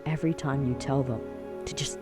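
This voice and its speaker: Male actor as earnest young friend, encouraging, protective, mid-range voice, emphatic phrasing, audio drama, clear music bed. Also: mid-range voice, steady tone, close to an everyday voice. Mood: impassioned. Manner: emphatic, reassuring, deliberate phrasing.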